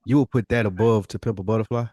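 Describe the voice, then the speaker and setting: A man's voice: lowish voice, fairly even intonation, fast talker, darkish tone, dry recording, studio quality. A man chatting casually as a podcast co-host in a slangy, conversational way. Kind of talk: casual podcast chat about hip hop.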